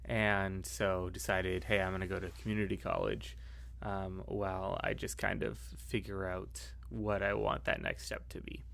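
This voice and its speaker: An American man leading a casual church class discussion, relaxed and thinking aloud with fillers. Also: deep voice, moderate pace, gravelly.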